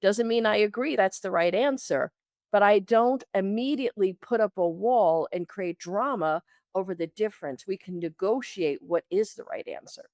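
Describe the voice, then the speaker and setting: A woman's voice: mid-range voice, animated, moderate pace, darkish tone, no room sound, studio quality. A woman from the Bronx talking conversationally as an interview guest and coach, acting out imagined quotes as she explains. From the Bronx, New York, United States.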